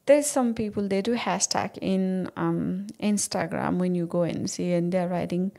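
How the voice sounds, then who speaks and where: A woman's voice: on the low side, measured pace, creaky, mellow. A woman from Nepal hosting a podcast, chatting informally and asking questions in a conversational interview style. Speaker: podcast host.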